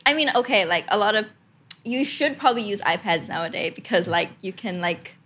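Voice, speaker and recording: A woman's voice: mid-range voice, a little fast, fairly bright tone. A young woman, an actress being interviewed, chatting casually and using lots of "like" fillers. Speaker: actress guest.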